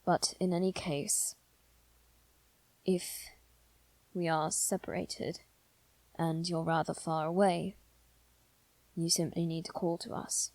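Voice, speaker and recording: A woman voicing a gentle, formal male demon who is devoted and possessive, speaking softly and deliberately in a scripted ASMR roleplay. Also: fairly low pitch, level tone, dry recording, poor audio. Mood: gentle, explaining. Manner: soft, measured, deliberate, sometimes near whisper.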